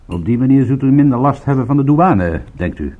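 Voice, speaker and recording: An actor playing a wry, wary private detective in a radio drama, speaking in a dry, measured way. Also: male voice, medium pitch, lively intonation, phone-quality sound, lightly acted. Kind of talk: Dutch radio drama acting.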